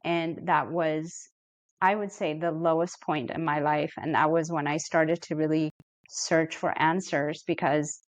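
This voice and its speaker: A middle-aged woman from Ethiopia, a therapist speaking as a podcast guest in an earnest, reflective and measured way. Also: low voice, steady tone, no room sound. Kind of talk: podcast interview.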